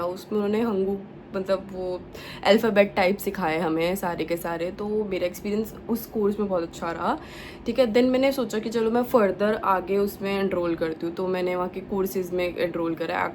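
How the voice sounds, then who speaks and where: A woman's voice: mid-range voice, a little mellow, slight background noise. Chatty young woman mixing Hindi and English. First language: Punjabi. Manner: chatty, mixes English words into Hindi, many fillers. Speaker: vlogger. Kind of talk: casual chat.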